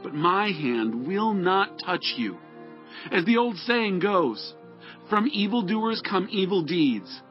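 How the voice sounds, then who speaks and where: A man's voice: sits high, big pitch swings, slow, exaggerated voice. A man playing a young, earnest, devout outlaw warrior who pleads in a declamatory way in a dramatized scripture recording. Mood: impassioned pleading. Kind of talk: dramatized scripture audio acting. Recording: dull lo-fi audio with music.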